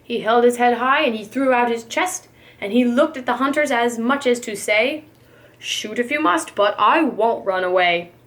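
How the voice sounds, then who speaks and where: A woman reading a storybook to young children as a preschool reader, with dramatic, deliberate phrasing. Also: fairly high pitch, fairly bright tone.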